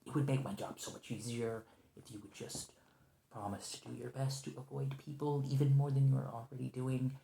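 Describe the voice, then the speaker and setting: A man playing a nervous, polite, conciliatory field agent in an ASMR roleplay, speaking in a halting, hesitant way. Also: medium pitch, flat delivery, gravelly, warm tone, slight background noise, natural voice. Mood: hesitant, bargaining. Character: nervous, conciliatory male agent of a secret organization negotiating with a creature. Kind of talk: ASMR roleplay, improvised one-sided conversation. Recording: roomy, echoing lo-fi sound.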